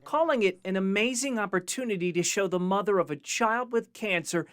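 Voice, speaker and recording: A man reporting a news story in a deliberate, scripted voice-over. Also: high-pitched, fairly bright tone, smooth.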